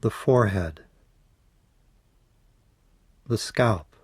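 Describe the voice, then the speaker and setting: A man leading a guided yoga nidra meditation in a measured, soothing way, letting his phrases trail off softly. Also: fairly low pitch, slightly rough, no room sound, clean studio sound.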